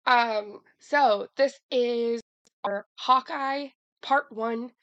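A woman co-hosting a casual podcast chat, hoarse from a cold, talking in a chatty way with lots of restarts. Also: fairly high pitch, very slow, a bit bright, no room sound, muffled recording. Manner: chatty, many fillers and restarts. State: hoarse from a cold, losing her voice. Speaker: podcast co-host.

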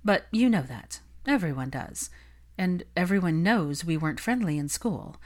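A woman's voice: fairly low pitch, lively intonation, normal speed, natural voice. A woman voicing a polite, guarded young witch in an audiobook, bland and dryly unimpressed in manner.

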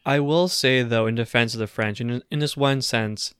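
A man's voice: mid-range voice, moderate pace, fairly bright tone, clear, resonant tone, no room sound, studio quality. Conversational man co-hosting a podcast. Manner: conversational, hedging, with filler phrases. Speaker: podcast co-host. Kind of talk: casual political chat.

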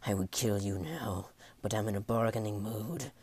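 A man's voice: on the low side, fairly even intonation, normal speed, close to an everyday voice. A man voicing a cold-blooded pirate lord in a story, curt and stern, with a menacing growl. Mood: quiet menace. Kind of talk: audiobook character voice.

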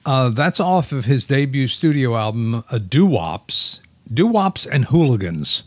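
A man's voice: mid-range voice, sing-song, measured pace, no echo. Male radio co-host, American, mid-range voice in a very dry studio sound, enthusiastic casual music talk. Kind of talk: casual chat with music trivia.

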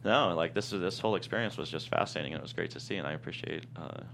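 Low, gravelly man, halting and reflective. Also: fairly bright tone, slight background noise. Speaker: guest screenwriter. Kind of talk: casual interview.

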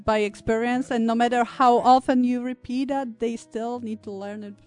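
A woman in her thirties from Poland, an English speaker, talking reflectively as an interview guest, with many pauses and fillers. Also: on the high side, fairly even intonation, a little mellow, soft background music. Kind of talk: conversational interview.

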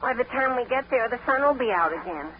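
A man's voice: very high voice, expressive, quick pace. An actor playing a confident, adventurous teenage boy, eager and boyish in 1940s radio comedy style. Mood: confident, matter-of-fact. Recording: dull, hissy 1940s radio recording.

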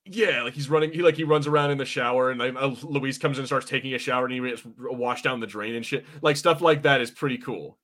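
A man's voice: mid-range voice, quick pace, hardly any pauses, a bit bright. A man co-hosting a podcast, giving casual watch-party commentary in a chatty, slangy way with some swearing. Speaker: podcast co-host.

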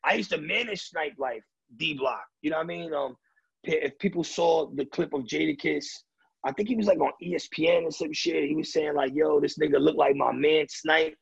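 Gravelly, husky-voiced man. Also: fairly high pitch, a little fast, no echo, muffled recording. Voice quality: raspy. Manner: loose and streetwise, heavy fillers and repeated starts. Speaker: rapper and DJ interviewee. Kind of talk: casual interview talk.

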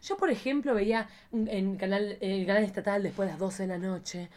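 Expressive Argentine woman chatting casually. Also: medium pitch, normal speed. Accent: Rioplatense Argentine Spanish. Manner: slangy, expressive. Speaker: podcast co-host. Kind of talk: casual, candid chat about films.

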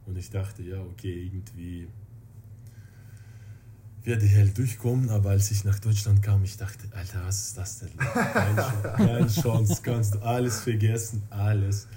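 Man, low voice, Russian accent, halting non-native speech, casual interview chat, noisy background. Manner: halting, searching for words in non-native German.

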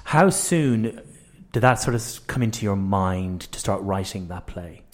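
A man's voice: sits low, lively intonation, measured pace. A man hosting a conversational radio interview in an informal, encouraging way.

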